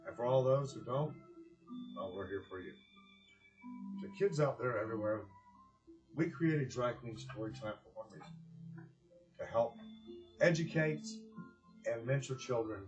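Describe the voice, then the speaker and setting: A man speaking earnestly and deliberately with pauses, as a presenter giving a heartfelt address and storybook reading. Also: mid-range voice, highs cut off. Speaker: director of a community organization, presenter.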